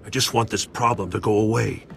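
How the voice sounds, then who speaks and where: A man's voice: fairly low pitch, steady tone, a little fast, creaky, faint music behind. An actor playing a shady, anxious man in over his head in a video game, talking in a furtive, streetwise way. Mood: anxious, pleading. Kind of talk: video game dialogue acting.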